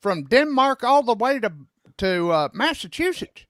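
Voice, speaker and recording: Man doing a high, bright-voiced old codger. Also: very animated, a little slow, no room sound, studio quality. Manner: gruff, folksy drawl.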